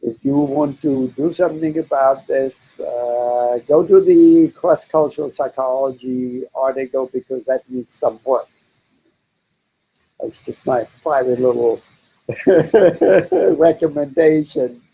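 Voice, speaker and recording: Slow, gravelly older man, German native. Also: medium pitch, mellow. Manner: slow, deliberate, frequent hesitations. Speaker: interviewee psychologist. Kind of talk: recorded interview answering questions. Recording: dull lo-fi recording.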